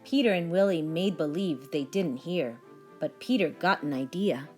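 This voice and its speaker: A woman's voice: low-pitched, normal speed, soft background music. A woman narrating a read-aloud for young children, with vocabulary and questions. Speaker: story reader.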